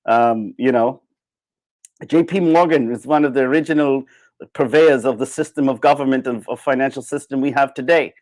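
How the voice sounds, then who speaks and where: A man giving a casual, opinionated livestream monologue in an animated, sardonic way. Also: medium pitch, normal speed, rough recording. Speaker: livestream host.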